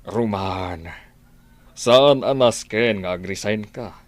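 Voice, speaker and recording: A man's voice: lowish voice, slow pace, dry recording. A man acting an authoritative, pleading middle-aged father and boss in an Ilocano radio drama. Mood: firm, persuading.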